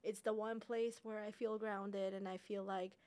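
A woman's voice: mid-range voice, little pitch movement, normal speed, darkish tone, clean tone. A woman from Armenia hosting a podcast, sharing personal anecdotes in a casual, reflective, conversational way. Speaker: podcast host.

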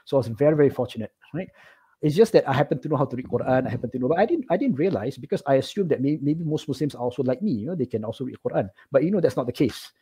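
A middle-aged man from Singapore with a Singaporean accent, telling personal stories casually as an interview guest, animated and often checking in with "right?". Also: medium pitch, racing, husky.

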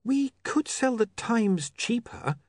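A man doing a brisk practical young woman. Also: very high voice, lively intonation, a little mellow, dry recording, clean studio sound, pitched above the performer's own voice, exaggerated voice.

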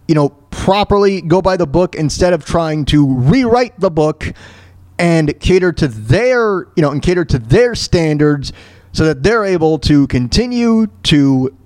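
A man hosting a solo radio show, giving informal, opinionated commentary on the news in a halting, repetitive way. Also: on the high side, expressive, a little mellow, dry recording, studio quality. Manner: informal, halting, repeats words and phrases for emphasis.

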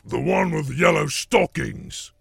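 Brutish storybook troll: belligerent, rough delivery, raised pitch that lurches up and down. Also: male voice, animated, slow pace, no room sound, faint music behind, exaggerated voice. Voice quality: rough, gravelly. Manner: blustering, coarse rustic speech. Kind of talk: audiobook character voice.

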